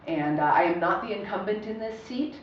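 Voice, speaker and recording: A woman's voice: mid-range voice, a little fast, slight background noise. A woman from Ohio introducing herself as a candidate in an orderly, matter-of-fact way. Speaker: candidate on a panel. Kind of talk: panel self-introduction. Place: public meeting room. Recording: echoey room from far off, dull.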